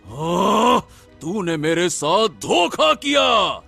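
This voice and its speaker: A man's voice: sits high, very animated, drawn-out words, creaky, bright, forceful tone, breathy edge, faint music behind, full character voice. A man voicing a vain young cartoon king turned monster, desperate and wrathful, with a stammering, wailing, melodramatic delivery. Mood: desperate rage. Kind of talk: animated Hindi moral-story acting.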